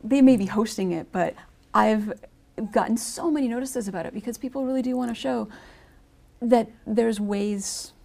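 A woman's voice: fairly high pitch, normal speed, gravelly, dark tone. A woman speaking on a radio-style panel discussion, chatty and enthusiastic, often restarting her sentences.

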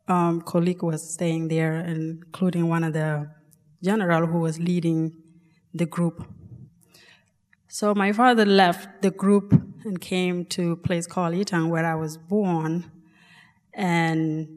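A woman from South Sudan with a South Sudanese accent, telling a personal story on stage in a halting, unhurried way. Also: on the low side, a little mellow, in a large hall. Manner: slow, halting, with restarts. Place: large performing arts hall.

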